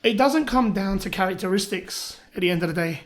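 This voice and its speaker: A man with a cold, his voice pitched higher than usual, talking as a dating coach in a casual podcast chat, firing off rhetorical questions and repeating words as he starts. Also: animated, fast. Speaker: dating coach and workshop runner.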